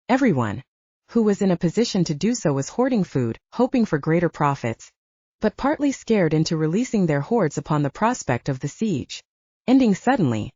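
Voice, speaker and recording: A woman narrates a literary audiobook in a dark, mellow voice with plenty of melodic movement. The delivery is scripted storytelling, captured very dry on a slightly dull recording. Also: on the low side, dry recording.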